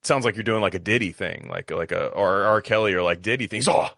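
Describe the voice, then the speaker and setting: A man's voice: fairly low pitch, fast, fairly bright tone, dry recording, studio quality. A man chatting casually as a podcast host, rambling and retelling exchanges with quoted dialogue.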